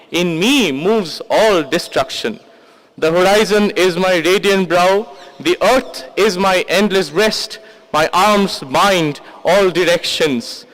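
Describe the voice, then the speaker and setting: A man performs a wrathful, all-encompassing god in a dramatic poetry recitation. The voice is high for a male and very deliberate, declaiming each phrase with solemn command. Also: fairly bright tone, rough recording. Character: wrathful male god revealing his vast cosmic form.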